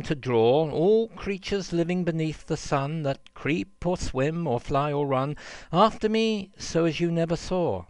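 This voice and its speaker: A man voicing a tall, mysterious piper who is courteous, quietly confident and persuasive in a storybook verse tale. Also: a little high, dry recording.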